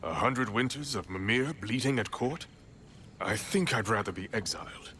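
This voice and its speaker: An actor playing a calm, blunt leader of a forest people in a game. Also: male voice, on the low side, moderate pace, slight background noise.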